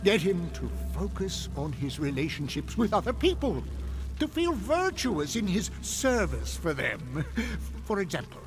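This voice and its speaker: An actor playing a commanding, scornful senior demon in a radio drama, instructing with imperious, deliberate delivery. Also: male voice, high-pitched, huge pitch swings, full character voice. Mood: sly instructing. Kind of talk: theatrical radio drama acting. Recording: music under the voice.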